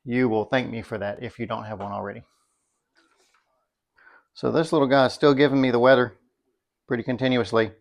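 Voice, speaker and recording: A man's voice: medium pitch, fairly even intonation, fairly quick, creaky, pauses often. A middle-aged man giving a casual, unscripted product review, thinking aloud in a folksy, easygoing way. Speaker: product reviewer, video host.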